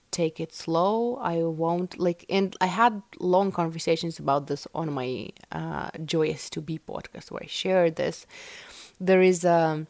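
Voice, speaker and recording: Woman hosting a solo podcast, low, slightly gravelly voice, dry close mic, rambling and full of fillers. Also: no room sound. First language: Russian. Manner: chatty, rambling, many fillers like "like" and "um". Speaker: podcast host. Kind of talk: casual solo podcast talk.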